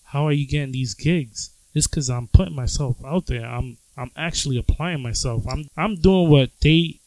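A man's voice: fairly high pitch, moderate pace, a little mellow, no room sound, poor audio. A man on a casual podcast, speaking in a laid-back, confident way with informal slang. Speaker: podcast regular, photographer. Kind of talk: casual chat.

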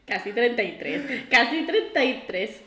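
A woman's voice: a little high, expressive, moderate pace, a bit bright. A woman in her late twenties from Girona, co-hosting a casual podcast, laughing easily and teasing in a dry way. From Girona, Catalonia, Spain. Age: 20s. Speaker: podcast co-host. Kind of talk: casual chat. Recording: echoey room.

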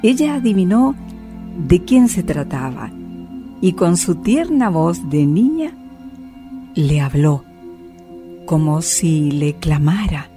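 A woman narrating a radio drama as storyteller, solemn and measured in her delivery. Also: lowish voice, big pitch swings, music in the background.

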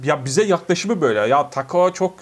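Young male co-host, slightly higher-pitched voice, colloquial and chatty, casual podcast talk. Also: animated, normal speed. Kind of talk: casual chat.

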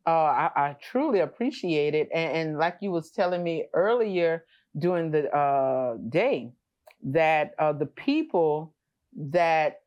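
A woman's voice: low voice, expressive, slow. Affirming woman host, dull lo-fi sound. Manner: affirming, many short backchannels. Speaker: herbal remedy seller hosting the interview. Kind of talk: casual interview chat.

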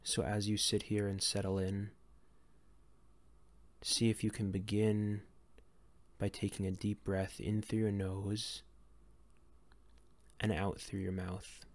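A man leading a guided meditation in a gentle, calm, unhurried way with long pauses between phrases. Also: on the low side. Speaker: meditation guide and series host.

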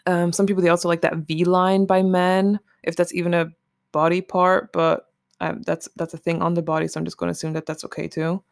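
Solo podcast host just chatting, kinda gravelly fry in the voice, candid and sweary, super dry sound. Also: mid-range voice, little pitch movement, brisk, no room sound. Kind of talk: casual rambling chat, commenting on a list.

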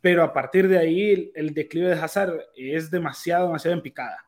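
A man's voice: on the high side, fairly even intonation, a little fast. A man co-hosting a live podcast, giving enthusiastic football analysis. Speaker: podcast co-host.

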